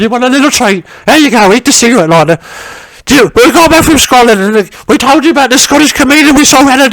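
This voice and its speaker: A man playing a chatty, crude older working-class woman who rambles through a story and shouts for her husband and dog. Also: very high voice, full character voice. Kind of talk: stand-up character monologue.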